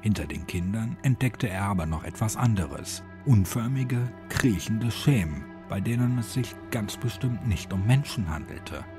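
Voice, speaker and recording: A man's voice: on the low side, animated, a little slow, hardly any pauses. A man narrating a horror story as a dramatic audiobook reading. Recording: music behind the voice. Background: music.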